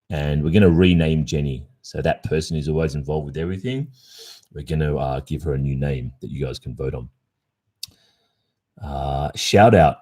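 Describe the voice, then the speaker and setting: A man's voice: extremely low pitch, dark tone. An Australian man hosting a casual livestream, chatting with his viewers and reading out their comments. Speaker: ASMR roleplay streamer.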